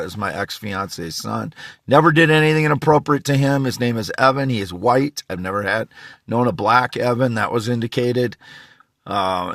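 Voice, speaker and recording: A middle-aged man with a slight rasp, giving a halting, repetitive, insistent monologue as he vlogs from a pickup truck. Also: fairly low pitch, fairly bright tone, no room sound, studio quality. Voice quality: slightly raspy. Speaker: vlogger. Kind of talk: rambling monologue to camera.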